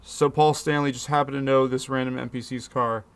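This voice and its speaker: A man's voice: medium pitch, fairly even intonation, a little fast, vocal fry, clean tone, faint music behind. A man in his thirties from the Philadelphia area, recapping a movie in a chatty, jokey way full of puns. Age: 30s. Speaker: movie commentary host. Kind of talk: casual movie recap commentary.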